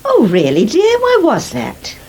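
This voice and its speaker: An actress playing a teasing, gently nosy older English aunt in a naturalistic radio soap. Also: female voice, high-pitched, huge pitch swings, a little slow, exaggerated voice. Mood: curious. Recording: faint noise, 1980s radio drama.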